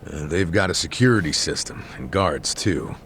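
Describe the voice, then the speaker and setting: Deep, matter-of-fact man. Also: lively intonation, moderate pace, fairly bright tone, a little hiss or hum. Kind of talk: English game dub acting.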